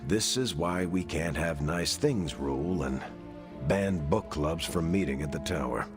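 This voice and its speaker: Deep-voiced easygoing superhero. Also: male voice, animated, measured pace, over music. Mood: wry. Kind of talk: video game cutscene dialogue.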